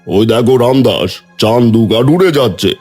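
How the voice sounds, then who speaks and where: A man voicing a knowing, sly village headman in a cartoon, speaking in a measured way. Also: mid-range voice, lively intonation, a little mellow, faint music behind, natural voice. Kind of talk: cartoon voice acting.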